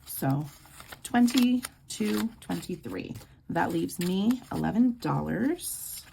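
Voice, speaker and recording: Woman vlogger, hoarse from a sore throat, deep fry-edged voice, murmured counting. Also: animated, slow, slight background noise. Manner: quiet, murmured counting of bills. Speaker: budgeting vlogger. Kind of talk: casual cash-stuffing walkthrough.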